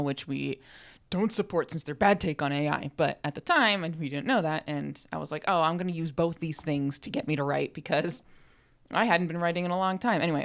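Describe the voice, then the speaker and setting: A woman co-hosting a podcast, chatting casually and telling stories in a chatty, animated way. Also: deep voice, clear, resonant tone. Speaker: podcast co-host.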